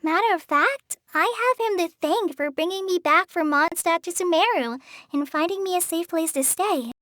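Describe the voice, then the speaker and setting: A woman's voice: extremely high pitch, no echo, clean studio sound. A woman voicing a shy, earnest teenage girl in a video game, hesitant and apologetic in the way she talks. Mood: uneasy. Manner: hesitant, apologetic, soft-hearted, halting with stammers. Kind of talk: video game character voice lines.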